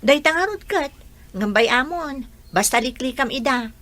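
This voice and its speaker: A sensible teenage friend, played by a woman in a radio drama, giving lively advice. Her voice is high and bright, recorded close and dry. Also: moderate pace, dry recording. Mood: advising. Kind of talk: Ilocano radio drama acting.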